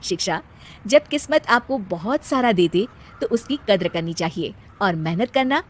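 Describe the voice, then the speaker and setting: A woman's voice: medium pitch, animated, normal speed. A woman narrating a scripted children's story.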